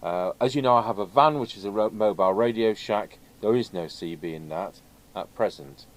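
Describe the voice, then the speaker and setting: A man's voice: lowish voice, normal speed. A man chatting casually and ramblingly as he presents radio gear in an unscripted video. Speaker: video host and radio technician. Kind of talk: casual unscripted product chat. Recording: clear background noise.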